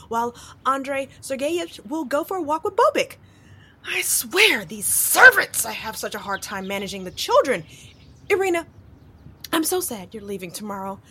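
A woman's voice: on the high side, pushed and bright, a little hiss or hum. An actress playing a bossy, affected young mother who chatters fussily about servants and children. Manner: fussy, chattering, melodramatic.

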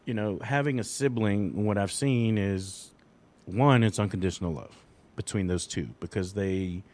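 Laid-back man in his forties, low voice. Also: moderate pace, a little mellow. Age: 40s. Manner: rambling, candid, self-deprecating. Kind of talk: casual chat.

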